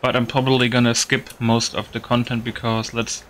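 A man giving casual gameplay commentary, thinking aloud in a halting, repetitive way. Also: medium pitch, level tone, a bit bright. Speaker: gaming video host.

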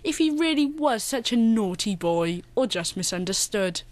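A woman's voice: fairly high pitch, animated, moderate pace, noisy background, natural voice. A woman playing a self-important, easily flustered announcer in a comic radio play. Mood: grand.

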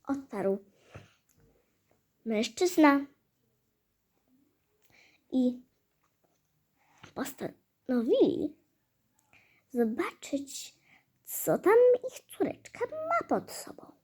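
A woman storyteller narrating a fairy tale in a halting, hesitant way, with stumbles and repeated phrases. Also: sits high, wildly swooping pitch, a little mellow. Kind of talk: fairy-tale storytelling for a hobby audio play.

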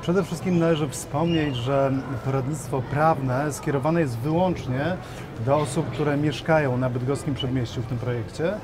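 A man in his thirties with a mid-range voice, explaining things in a measured way with hesitant fillers. Clear shopping-mall noise sits behind him. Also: medium pitch. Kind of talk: interview answers. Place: shopping mall seating area, indoors.

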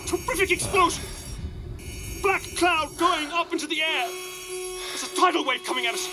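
Excitable, high-pitched radio commentator. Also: male voice, moderate pace, music in the background. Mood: panicked. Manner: urgent, exclamatory. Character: excited male radio commentator reporting live at sea. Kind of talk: 1950s live outside broadcast commentary, acted.

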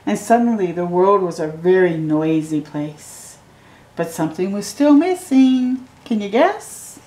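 Middle-aged woman storytime reader, slow and mellow, reading a picture book at home, roomy echo. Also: mid-range voice. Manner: slow, gentle reading with excited asides to the viewer. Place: living room at home.

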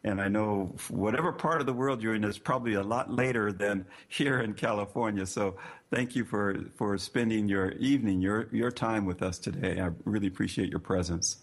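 A man hosting a podcast interview, earnest and warm in his words, often repeating himself and using fillers. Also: fairly low pitch, normal speed. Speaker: podcast host. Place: California.

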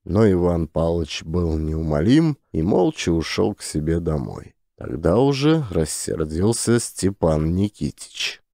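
A man narrating a classic story as an audiobook, in a measured storytelling manner. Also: deep voice, sing-song, vocal fry, warm tone, no room sound, clean studio sound.

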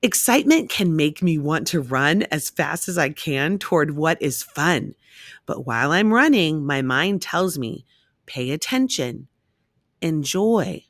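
A woman reading a rhyming children's picture book aloud in an expressive, measured storytelling manner. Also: low voice, no room sound, studio quality.

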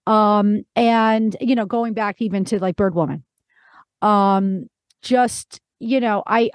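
A woman's voice: fairly high pitch, a little mellow, dry recording, clean studio sound. A woman hosting a casual interview in an informal, encouraging way. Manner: encouraging, informal, many hedges. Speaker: podcast host.

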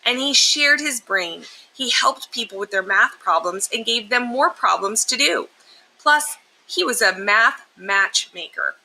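A woman with a bright, forward voice at an average pitch reads a children's storybook aloud. Her delivery is expressive and explanatory, with emphasis meant for young listeners. Steady noise sits audibly behind her voice. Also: low-quality recording. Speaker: teacher reading a picture book aloud. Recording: clear steady background noise.

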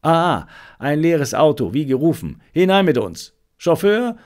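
A man reading the part of a shrewd male detective in an audiobook, brisk and decisive as he gives instructions. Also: on the high side, sing-song, darkish tone. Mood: brisk, commanding.

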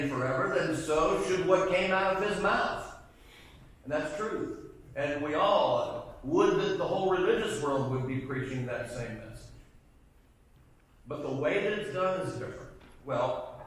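Slow-speaking man teaching in a church. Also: a little high, fairly bright tone. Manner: emphatic, asks the class questions. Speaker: Bible class teacher. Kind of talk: Bible class lecture. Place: church sanctuary with pews. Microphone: far camera at the back of the room. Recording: echoey room from far off.

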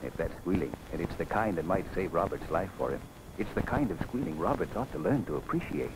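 An American actor playing a stern, principled soldier who lectures firmly in a 1940s film. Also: male voice, sits low, animated, a little fast. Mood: reproving. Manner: lecturing, firm. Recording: noisy 1940s film soundtrack.

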